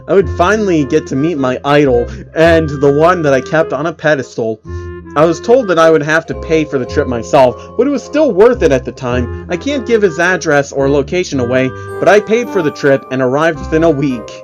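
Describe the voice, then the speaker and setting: A man's voice: fairly high pitch, expressive, a little fast. A man giving a mocking, amused dramatic reading of an online horror story as its narrator. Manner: amused, exaggerated, often breaking character. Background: faint music.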